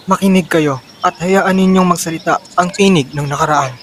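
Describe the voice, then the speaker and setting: A man's voice: high-pitched, normal speed, fairly bright tone, slight background noise. A man with a Filipino accent playing a proud, solemn Kalinga storyteller in an amateur radio drama. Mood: solemn, instructive. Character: male Kalinga storyteller, proud keeper of ancestral tales.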